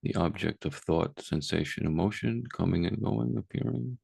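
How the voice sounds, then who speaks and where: A man guiding a meditation as a teacher, speaking calmly and unhurriedly with long pauses. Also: sits low, gravelly, breathy edge, no echo. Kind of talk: guided meditation, unscripted.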